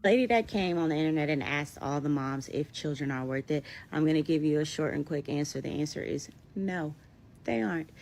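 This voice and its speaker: A woman's voice: sits low, fairly quick, creaky, darkish tone. A young woman giving a blunt, emphatic, colloquial monologue for social media, in a noisy played-back clip. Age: young adult.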